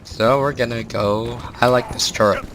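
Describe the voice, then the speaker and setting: A man who has lost his voice, playing a video game and trading deadpan jabs with his friends. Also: mid-range voice, normal speed, crowd noise. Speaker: let's play player. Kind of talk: casual gameplay chat. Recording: noisy capture with game sound behind.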